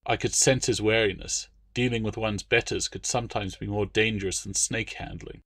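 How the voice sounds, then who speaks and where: A man's voice: on the low side, steady tone, normal speed, a bit bright, no room sound, studio quality. A man reading scripted audiobook narration as a storyteller. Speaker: author reading own novel as narrator.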